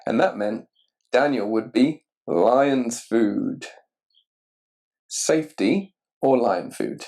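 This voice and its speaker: A man reading a children's picture book aloud expressively and deliberately, putting questions to the listener. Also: medium pitch, animated, darkish tone. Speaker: story reader.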